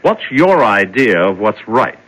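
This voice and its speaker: Chief interrogator for radio drama: deep-ish, incisive, expressive range, commanding and unbudging. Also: male voice, measured pace, full character voice, poor audio. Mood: coolly implacable. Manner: curt, controlled, commanding. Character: coolly implacable male interrogator, man in charge. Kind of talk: 1970s radio drama acting.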